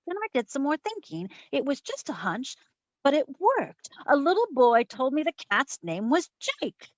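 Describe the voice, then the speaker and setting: A woman's voice: sits high, big pitch swings, normal speed, dry recording, highs cut off. A woman playing a wisecracking, self-assured female private eye in a wry, slangy 1940s radio detective style. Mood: wry recounting. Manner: wry, slangy, theatrical storytelling. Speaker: community theatre voice actor.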